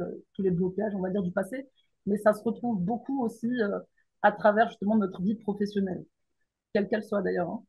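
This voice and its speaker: A middle-aged woman from the Paris region speaks as an interview guest. She thinks aloud, restarts and pauses often, and her husky voice comes through a dull, muffled remote line. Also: mid-range voice. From France, Paris region. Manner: thoughtful, hesitant with many fillers and restarts. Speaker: guest, mindset coach and teacher.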